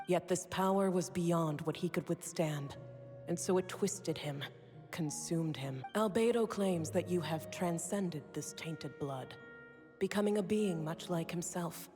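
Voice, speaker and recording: Cold master scholar for a game, female actor: mellow dark tone, formal and analytical, reverberant over faint music. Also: lowish voice, lightly acted. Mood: cold, solemn. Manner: measured, formal, detached. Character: cold, imperious, analytical master alchemist woman. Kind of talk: video game voice acting.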